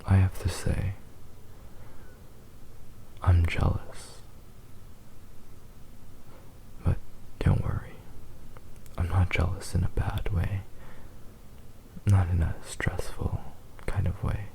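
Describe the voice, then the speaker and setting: ASMR roleplay: doting, possessive male fox demon, played by a man; dark mellow tone, very even, hushed and soothing. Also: low voice, heavily acted, low-quality recording. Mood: gently jealous, soothing. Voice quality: breathy, whispery. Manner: slow, tender, soothing, halting.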